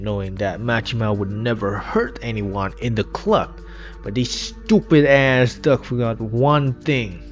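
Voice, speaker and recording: Lo-fi low-voiced man narrating over music. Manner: non-standard grammar with dropped verb endings. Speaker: video narrator. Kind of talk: informal scripted story retrospective narration. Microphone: inexpensive microphone. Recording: dull lo-fi cheap mic, music behind.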